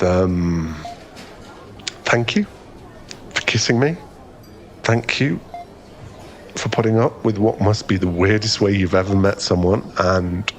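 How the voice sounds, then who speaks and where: A man playing a flustered, smitten young man in an ASMR boyfriend roleplay, halting and stammering as he rambles nervously to a crush. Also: sits low. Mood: embarrassed, grateful.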